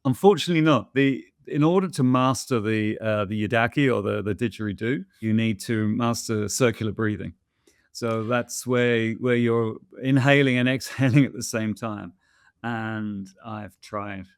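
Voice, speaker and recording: A man's voice: fairly low pitch, moderate pace, clear, resonant tone, no room sound, studio quality. A man in a casual interview, answering viewer questions in a thoughtful, hesitant way, with many fillers and restarts.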